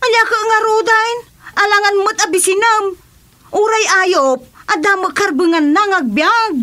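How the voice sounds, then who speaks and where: A woman's voice: very high-pitched, a little slow, pushed and bright, heavily acted. A woman playing a nagging, exasperated village wife in an Ilocano comedy radio drama, with an Ilocano accent. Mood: exasperated, scolding.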